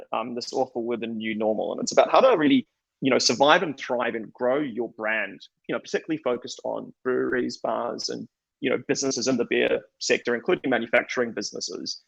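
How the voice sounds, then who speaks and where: A man's voice: medium pitch, gravelly, a bit bright, husky, no echo, low-quality recording. A middle-aged man with a New Zealand accent giving a conference talk in a conversational, self-deprecating way with frequent restarts. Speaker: conference speaker. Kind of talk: conference presentation.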